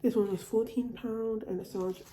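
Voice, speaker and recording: Woman, home vlogger, very dark tone, recovering from a cold, roomy echo. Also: a little high, steady tone, normal speed, slight background noise. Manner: chatty, repeats and restarts phrases. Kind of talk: casual haul video. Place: at home, indoors.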